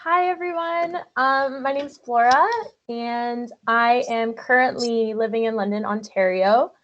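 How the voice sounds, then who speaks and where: A woman with a fairly high voice introduces herself, pausing with hesitant fillers. The video call sounds muffled. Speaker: student.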